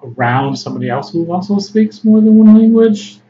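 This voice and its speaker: A man's voice: sits high, lively intonation, normal speed, warm tone. Chicago guy teaching, keeps saying right? okay?, kinda muffled lo-fi audio with a little hiss. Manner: conversational, frequent "right?" and "okay?" check-ins, many ums. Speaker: English tutor. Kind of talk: informal lecture to camera. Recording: slightly dull lo-fi audio with faint noise.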